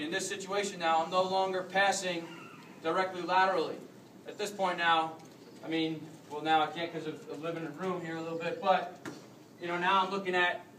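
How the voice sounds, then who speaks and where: A man's voice: high-pitched, a bit bright, slight background noise. A young American man coaching rugby technique, explaining as he demonstrates moves in a big conference room, recorded on a phone camera from across the room. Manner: explanatory, demonstrating while moving. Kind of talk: demonstration clinic. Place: large carpeted conference room with a crowd standing around. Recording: roomy echo, distant from the mic.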